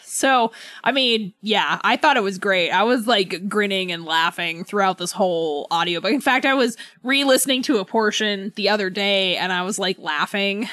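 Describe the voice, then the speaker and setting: A woman's voice: mid-range voice, moderate pace, a bit bright, dry recording. A woman co-hosting a podcast in a casual book chat, often breaking her sentences to correct herself and prone to laughter. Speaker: podcast co-host.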